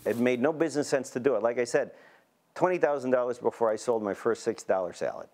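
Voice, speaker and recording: A man's voice: mid-range voice, fast, darkish tone. Man with a Chicago accent. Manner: plain, listing points in short clauses. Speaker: small business owner interviewed.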